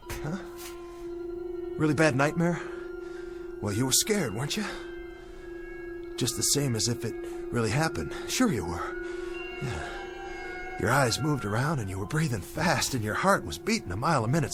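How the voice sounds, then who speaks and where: An actor performs a young bomber captain, stranded and coming apart under guilt, in a radio drama monologue. He talks aloud to himself in a medium-pitched male voice, rambling, desperate and full of questions he throws back at himself. Music plays clearly behind him. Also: close to an everyday voice. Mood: bitter, doubting. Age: young adult.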